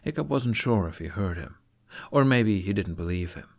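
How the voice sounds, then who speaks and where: A man reading scripted fantasy fiction aloud as an expressive storyteller. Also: on the low side, moderate pace, vocal fry. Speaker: podfic narrator.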